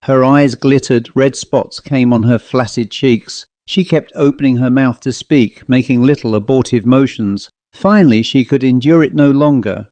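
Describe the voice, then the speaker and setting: A man narrating an old literary ghost story in a measured, formal storytelling manner. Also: mid-range voice, dark tone, no room sound. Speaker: audiobook narrator.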